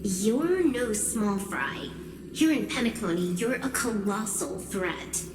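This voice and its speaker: Cool cautious hacker girl in an anime game, slow dry delivery, echoey, music behind. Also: medium pitch, big pitch swings. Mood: firm, cautioning.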